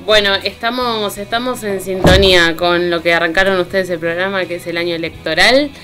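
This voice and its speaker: A woman's voice: fairly low pitch, steady tone, a little fast, a bit bright. An Argentine woman giving informal political commentary as a columnist on a radio show. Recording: music bed under the voice. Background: music.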